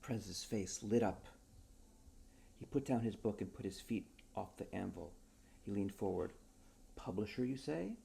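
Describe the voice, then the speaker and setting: Mellow man reading a story aloud. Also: on the low side, steady tone, moderate pace, gravelly, long pauses. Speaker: author reading own short story. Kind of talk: dramatic storybook narration.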